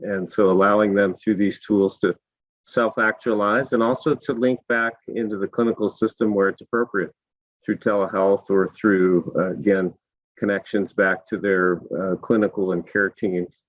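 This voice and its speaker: A slightly hoarse man speaking as a panelist in a discussion, measured and full of fillers. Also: fairly low pitch, steady tone, no room sound, lo-fi sound.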